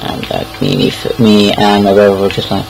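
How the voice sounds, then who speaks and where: A man's voice: lowish voice, big pitch swings, brisk, gravelly, a little hiss or hum, rough recording. A young British man casually telling anecdotes in a rambling, hesitant way, repeating words as he goes. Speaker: online storyteller.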